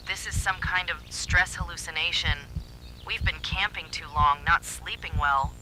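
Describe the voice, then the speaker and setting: An anxious young man, voiced by a male narrator, sounds shaken and disbelieving in a horror story. His delivery is forceful and bright, with faint noise underneath. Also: fairly high pitch, steady tone, a little slow, rough recording. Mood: doubtful, shaken. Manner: tense, disbelieving.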